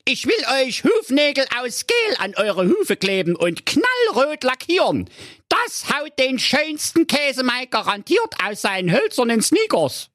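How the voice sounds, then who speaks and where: Man doing a wicked stepmother, shrill falsetto, scolding, mocking, swooping voice. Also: wildly swooping pitch, unhurried, fairly bright tone, no echo, clean studio sound, full character voice. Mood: scheming. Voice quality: high put-on falsetto. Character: wicked stepmother, scolding, scornful middle-aged woman.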